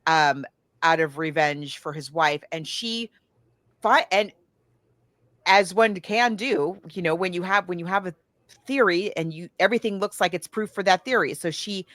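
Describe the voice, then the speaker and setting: A woman podcast host with a slightly low voice, recorded close and dry. She talks casually, rambling through a retelling as if chatting with a friend. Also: fairly bright tone, no echo. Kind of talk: casual chat recounting a film plot.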